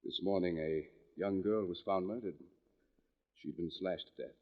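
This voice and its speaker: An actor playing a courteous, inquisitive and intense doctor in 1940s radio drama style. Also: male voice, sits low, fairly even intonation, normal speed, lightly acted. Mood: grave, intense. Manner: formal, inquisitive, earnest. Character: courteous, intense male doctor writing about criminal minds. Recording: dull vintage 1940s radio recording.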